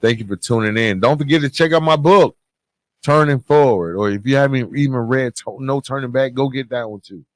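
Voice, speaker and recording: A man's voice: medium pitch, a little fast, dry recording, studio quality. A man hosting a podcast, giving motivational advice in an earnest, conversational monologue, repeating phrases for emphasis. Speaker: podcast host. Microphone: close podcast microphone.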